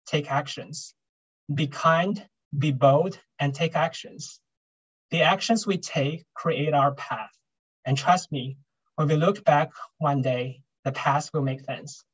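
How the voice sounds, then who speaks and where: A young man giving a prepared commencement speech as a graduating doctoral student, measured and earnest, over a video call. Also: on the high side. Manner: measured, earnest delivery with repeated refrains. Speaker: graduating PhD student speaker. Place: home room on video call, virtual backdrop. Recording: dull lo-fi video call audio.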